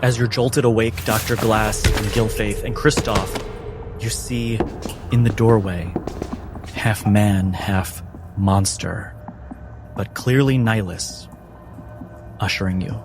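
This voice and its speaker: A man working as game master, narrating dark fantasy in measured, suspenseful phrases with dramatic pauses. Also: on the low side, steady tone. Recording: music under narration.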